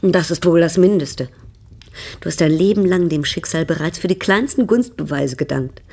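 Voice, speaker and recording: Radio drama: imperious older-sister baroness, lowish husky voice, haughty, forever dissatisfied. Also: female voice, moderate pace, mellow. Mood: haughty, reproachful. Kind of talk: German radio drama acting.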